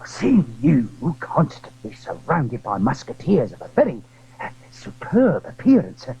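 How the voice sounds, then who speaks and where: Man acting an anxious, husky confiding landlord. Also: mid-range voice, huge pitch swings, measured pace, warm tone, muffled recording, exaggerated voice. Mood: earnest, flattering. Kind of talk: dramatized classic adventure audio drama.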